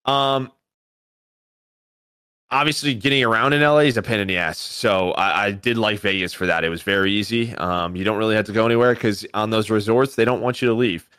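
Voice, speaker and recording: A man's voice: lowish voice, a little fast, fairly bright tone, clear, resonant tone, dry recording, clean studio sound. A man co-hosting a podcast in a casual, conversational style, moving between opinions and listener questions. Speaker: esports commentator and podcast co-host.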